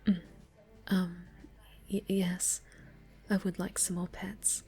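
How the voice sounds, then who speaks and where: A woman playing a snobby but soft, easily flustered cat girl in an intimate ASMR roleplay, speaking coyly and haltingly, often breathy. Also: lowish voice, flat delivery, faint music behind. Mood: drowsy, content. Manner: halting, stammering, coy, haughty.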